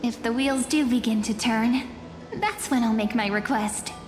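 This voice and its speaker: A woman voicing a graceful young fortune-teller in a fantasy game, soft-spoken, wistful and playful. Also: fairly high pitch, normal speed, natural voice. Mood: wistful, intimate. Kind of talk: fantasy video game voice acting. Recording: reverberant, clear music behind.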